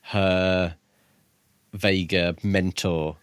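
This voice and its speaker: A man's voice: low-pitched, level tone, very slow, clear, resonant tone, no room sound, clean studio sound. A man co-hosting a podcast, talking casually about books. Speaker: podcast co-host. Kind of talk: casual book discussion.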